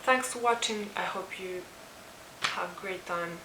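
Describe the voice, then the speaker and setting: A woman with a Serbian accent teaches phrases in an informal lesson, taking her time and repeating things. Her voice is crisp and bright. The recording has a roomy echo and a faint hiss. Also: medium pitch, unhurried. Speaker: language teacher presenting a lesson.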